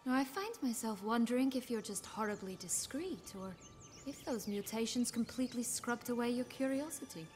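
A woman's voice: fairly high pitch, animated, normal speed, a little mellow, close to an everyday voice. An actress voicing a proud, sardonic noblewoman in her thirties in a video game, arch, imperious and articulate. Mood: cool, probing. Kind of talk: video game cutscene acting. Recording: music under the voice.

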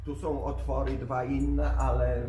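A man's voice: medium pitch, flat delivery, measured pace, over loud music. A man in his fifties from Poland chatting casually in short, clipped remarks while handling tools in a workshop. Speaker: mechanic trying out a power tool on camera. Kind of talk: casual chat. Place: large cluttered workshop garage, indoors. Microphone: camera a step or two away. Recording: roomy echoing workshop, loud background noise.

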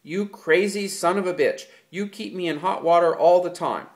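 A man voicing an angry, exasperated ranch hand who rants loudly and bitterly. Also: medium pitch, animated, moderate pace, lightly acted. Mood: furious. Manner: loud, bitter ranting.

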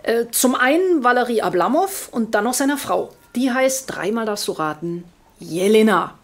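Actress doing a dry probing lady cop in a crime drama, voice on the high side, wry. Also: animated, normal speed, close to an everyday voice. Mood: dry, sardonic. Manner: wry, pointed, sardonic. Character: dry, probing female police detective in a German crime series. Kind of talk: naturalistic audio drama dialogue.

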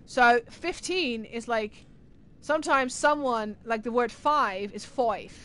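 A woman's voice: fairly high pitch, slow pace, a bit bright. A young woman with an Irish accent, chatting casually on a livestream from a room at home, rambling as she answers chat messages. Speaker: streamer.

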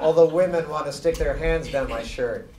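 Casting: male comic reader, highish pitch, roomy live sound a little off the mic. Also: normal speed, very noisy. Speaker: guest reader at a book party. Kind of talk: live comedic reading from a book. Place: indoor room at a live party. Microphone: microphone on a lectern stand. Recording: roomy live event, loud laughing audience, distant mic. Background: loud audience laughter.

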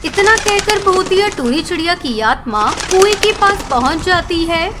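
A woman's voice: very high voice, lively intonation, moderate pace. A woman narrating a scripted children's story as the storyteller. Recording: clear background noise and ambience.

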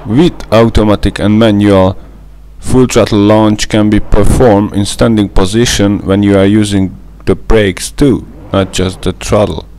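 A man's voice: fairly low pitch, steady tone, a little slow, a little mellow, slight background noise. A young man with a Hungarian accent, a native Hungarian speaker, narrating a gameplay tutorial in a careful, methodical way. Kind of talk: scripted gameplay voice-over.

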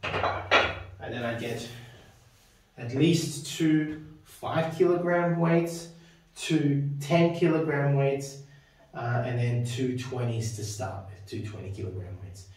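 A man's voice: mid-range voice, slow, pauses often. A man in his thirties hosting a casual home gym walkthrough, chatty and rambling, often tagging his sentences with "right?". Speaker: fitness video host. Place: small home gym with power rack, indoors. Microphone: camera mic from a distance. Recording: very echoey room, camera far off.

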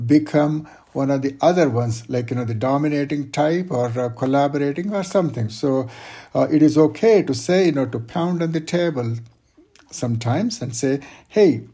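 Mellow, husky man giving career advice. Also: fairly high pitch. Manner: measured, explanatory, uses examples. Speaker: guest expert on workplace conflict. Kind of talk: advice in an interview.

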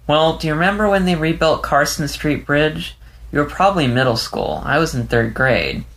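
A man's voice: a little high, lively intonation, moderate pace, a bit bright, pitched above the performer's own voice. A man voicing an emotional young adult male fox who talks in a shaky, whimpering way. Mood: earnest.

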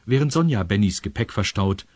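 A man's voice: fairly low pitch, lively intonation, a little fast. A man with a standard German accent narrating a scripted children's audio story.

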